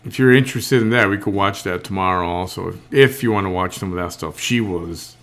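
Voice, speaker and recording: A man with a somewhat low voice hosts a relaxed live-stream show. He talks in a halting, unscripted way, restarting and repeating words as he works out what he thinks. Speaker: host. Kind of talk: casual live-stream chat.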